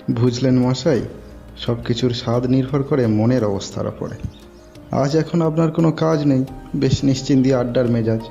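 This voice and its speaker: An actor playing a chatty, opinionated, teasing friend, musing playfully in an audio drama. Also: male voice, mid-range voice, measured pace, gravelly, dark tone, muffled recording, soft background music. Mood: jovial.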